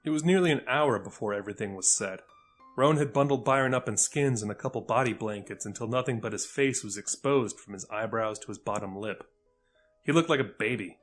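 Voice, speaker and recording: A man's voice: fairly low pitch, fairly quick. A man narrating a scripted audio fiction story. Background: faint music.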